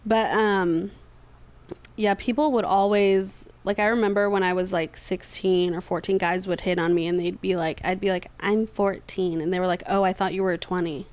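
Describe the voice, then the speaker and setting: A woman in her mid-thirties in a casual podcast conversation. She tells stories in a chatty, easygoing way. Her pitch sits in a middle range for a woman. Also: steady tone, normal speed, a little mellow. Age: 30s. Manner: chatty storytelling. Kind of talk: casual chat.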